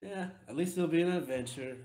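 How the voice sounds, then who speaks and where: A man playing a stressed, apologetic female spaceship crew member in a tabletop roleplay, speaking haltingly, in a roomy recording. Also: on the high side, dark tone, pitched above the performer's own voice, close to an everyday voice. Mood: weary, wry. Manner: halting, hesitant.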